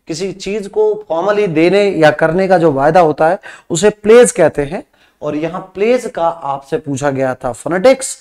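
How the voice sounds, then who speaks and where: A man with a Rajasthani Hindi accent teaches an English exam class in Hindi. His voice sits fairly high. He quizzes the students and then gives the answers himself, drawing English words out slowly as he writes on the board. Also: a little mellow. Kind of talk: exam practice lesson. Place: classroom studio with a whiteboard screen.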